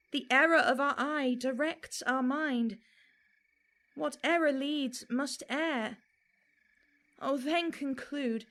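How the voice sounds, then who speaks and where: Bright, high-voiced torn young Trojan woman played by an actress. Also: heavily acted. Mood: rueful. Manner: slow, declamatory, wavering. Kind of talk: theatrical Shakespearean verse acting.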